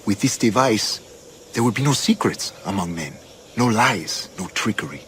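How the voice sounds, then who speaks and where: Solemn, deep-voiced Spanish grandmaster. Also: male voice, expressive, fairly bright tone, slight background noise. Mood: solemn, zealous. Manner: measured, formal, grandiose oratory. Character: authoritative scheming Templar grandmaster and colonial governor, solemn older man. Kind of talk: dramatic video game acting.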